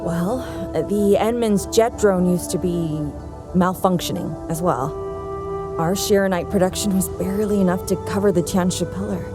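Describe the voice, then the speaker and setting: An actress playing a composed, earnest woman researcher in a video game, measured and explanatory in delivery. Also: on the low side, music in the background, lightly acted. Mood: earnest, reflective. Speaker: game character.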